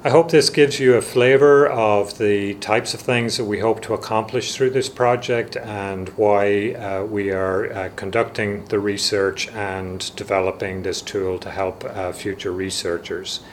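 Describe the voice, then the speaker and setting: Low-voiced man presenting, halting delivery. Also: fairly even intonation, a little hiss or hum. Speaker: research project lead and presenter. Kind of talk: informational project presentation.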